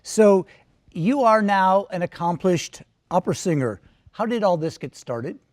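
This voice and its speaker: A man interviews his guest with brief, prompting questions. His voice is on the higher side for a man. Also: expressive, measured pace, a little mellow.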